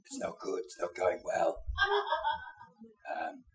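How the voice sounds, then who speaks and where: A performer voicing a sullen, unhinged puppet camper with a gravelly voice, in an improvised comedy show. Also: takes it slowly, reverberant, slight background noise, natural voice. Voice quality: gravelly, creaky.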